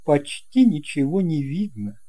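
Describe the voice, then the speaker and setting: A narrator reading a children's story with deliberate, measured phrasing. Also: mid-range voice, big pitch swings, warm tone, husky. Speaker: audiobook narrator. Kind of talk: scripted narration of a children's tale.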